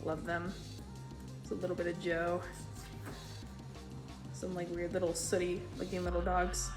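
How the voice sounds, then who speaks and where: A young woman with a congested, stuffy voice, casually touring her sketchbook in a tired, low-key way and swearing now and then. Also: completely flat delivery, a little slow, music in the background. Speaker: artist showing a sketchbook.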